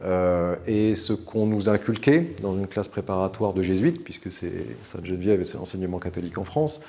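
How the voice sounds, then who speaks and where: A man in his forties who grew up in Morocco, telling the story of his studies and career in a measured, articulate way, with frequent hesitations. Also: low-pitched, dark tone. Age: 40s. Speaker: interview guest. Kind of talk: recounting his career to camera.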